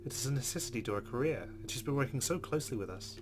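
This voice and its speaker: A man hosting a let's play, reading visual novel narration aloud in a measured, expressive way with casual asides. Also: mid-range voice. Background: game music with plucked guitar.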